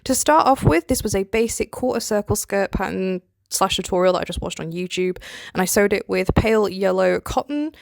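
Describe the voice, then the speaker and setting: A young woman narrates in a casual, explanatory way. Her voice sits at a middle pitch with plenty of fry. The recording is close and dry, made on a phone. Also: brisk, no room sound. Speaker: video creator narrating her craft process. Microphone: phone voice memo, close and dry.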